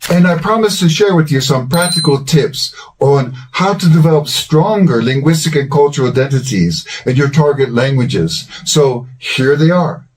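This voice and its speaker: An older man hosting a language-learning channel, giving scripted advice in a measured, earnest and encouraging way. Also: fairly high pitch, expressive, slight background noise.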